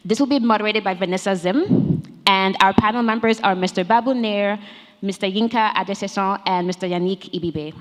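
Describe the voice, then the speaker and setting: A woman's voice: mid-range voice, fairly quick. A woman acting as event host, formally announcing the next session and its panelists. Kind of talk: stage announcement. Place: large conference hall. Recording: echoey hall, long reverb.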